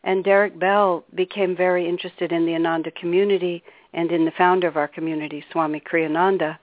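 Calm older woman guest over a phone line. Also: fairly low pitch, dry recording. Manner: calm, articulate, measured storytelling. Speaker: spiritual teacher, guest. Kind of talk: radio interview. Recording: dry, tinny phone line.

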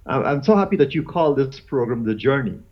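A man in his fifties from Guyana, talking as an interview guest in a halting way with many fillers and restarts. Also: medium pitch, animated. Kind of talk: casual interview chat. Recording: dull lo-fi audio.

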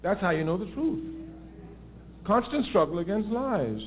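A man's voice: high voice, very animated, normal speed. A man giving an impassioned political talk on a call-in radio show, emphatic and repeating his key phrases for force. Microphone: phone line. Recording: tinny phone line with faint hiss.

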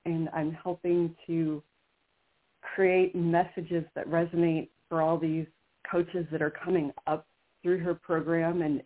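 An American woman, a radio show guest, chatting enthusiastically with frequent restarts. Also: on the low side. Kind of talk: casual radio chat. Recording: phone-like lo-fi line.

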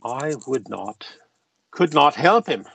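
An actor in a radio play playing a genteel, self-satisfied member of Parliament with old-fashioned, pompous phrasing. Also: male voice, medium pitch, expressive, slow pace, gravelly, fairly bright tone, husky, heavily acted. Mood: firm, disapproving.

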